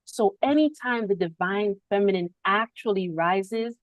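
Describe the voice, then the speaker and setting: A woman's voice: mid-range voice, a little slow, no echo, low-quality recording. A woman hosting a spiritual podcast on a video call, speaking reflectively and laughing often between thoughts. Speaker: podcast host.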